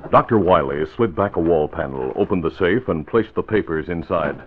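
A man's voice: deep voice, expressive, moderate pace, slight background noise. A man announcing and narrating in scripted 1940s radio style. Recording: muffled, phone-like old radio recording.

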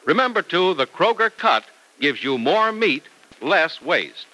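A man announces in the scripted style of classic radio. His voice is bright and somewhat high, with very lively intonation, like a period pitchman. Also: measured pace, rough recording.

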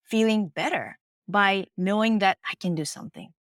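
A woman's voice: medium pitch, lively intonation, normal speed, fairly bright tone, no room sound. Japanese-accented woman, earnest and dry-sounding. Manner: earnest, reflective storytelling with acted quotes. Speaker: face yoga teacher. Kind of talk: interview answers.

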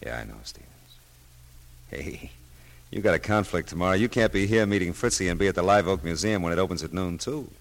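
An American actor playing a wry, world-weary but soft-hearted private detective in a vintage radio drama, clipped and slangy. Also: male voice, low voice, expressive, fairly quick. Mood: knowing, gentle. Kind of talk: 1940s-50s American radio detective drama, first-person narration.

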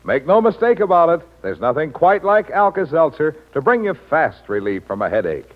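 A man's voice: a little high, big pitch swings, moderate pace. A man announcing a scripted vintage radio advertisement with emphatic, polished salesmanship. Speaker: commercial announcer. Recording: dull vintage radio broadcast.

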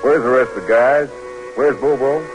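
An actor playing a plain-spoken, weary paratrooper buddy in 1940s radio drama style. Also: male voice, mid-range voice, steady tone, normal speed, faint music behind, natural voice, poor audio. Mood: anxious.

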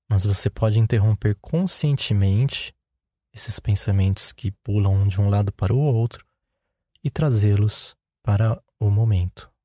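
A Brazilian man guides a meditation in a lowish, very mellow voice. His delivery is calm and unhurried, giving each instruction plainly. The sound is dry, with little room around it. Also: dry recording. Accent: Brazilian Portuguese.

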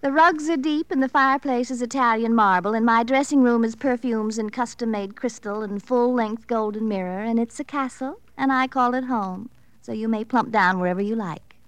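Woman doing arch gushy society girl, coy and theatrical, highish voice, old radio drama. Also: moderate pace, natural voice. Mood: coy, gushing. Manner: breezy, theatrical, arch, gushing. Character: affected, gushing young society fiancée, playfully coy. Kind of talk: 1950s radio drama acting.

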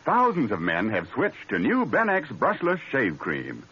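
A man's voice: mid-range voice, wildly swooping pitch, measured pace, sharp tone, husky. A man with an American accent announcing and reading ads in the style of 1940s radio. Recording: dull vintage radio recording, music behind.

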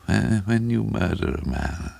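A man voicing a small, conceited, furtive madman in a story, with a low breathless mutter, a bubbling impediment and a halting, stammering delivery. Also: animated, creaky, darkish tone, no room sound, heavily acted. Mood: muttering, insistent.

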